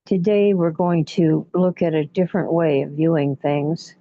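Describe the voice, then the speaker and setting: A woman leading a guided relaxation meditation in a soothing, unhurried manner, leaving long pauses between short phrases. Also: deep voice, no room sound. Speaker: guided imagery facilitator. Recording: muffled, dull lo-fi audio.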